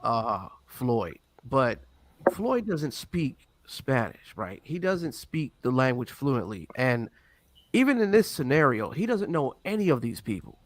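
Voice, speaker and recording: A man's voice: medium pitch, sing-song, unhurried, a little hiss or hum. A man in a casual discussion, agreeing and building on what others just said. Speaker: guest.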